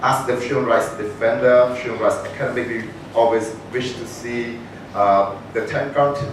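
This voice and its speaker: A man asking a long question from the floor at a panel discussion, halting with many fillers and restarts. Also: medium pitch, steady tone. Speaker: audience member asking a question. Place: large conference room. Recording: echoey hall from far off, noisy.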